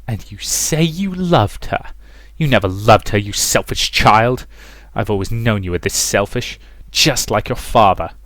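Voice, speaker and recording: A man's voice: fairly low pitch, very animated, measured pace, hardly any pauses, fairly bright tone, no room sound. A man voicing a vengeful, hysterical dead mother who rants harshly in a dramatic story reading, with music behind him. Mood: screaming, accusing. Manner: harsh, accusing, ranting.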